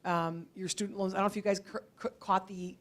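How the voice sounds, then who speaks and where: A woman's voice: mid-range voice, fairly even intonation, quick pace. A woman instructor talking informally with students, in a conversational, hesitant way with repeated words. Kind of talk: informal question-and-answer with students. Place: indoor college library event before an audience.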